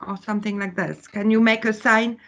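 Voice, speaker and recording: A woman's voice: medium pitch, steady tone, fairly quick, fairly bright tone. A woman from France with a French accent, teaching an online workshop over a video call, laughing often and hesitating with fillers.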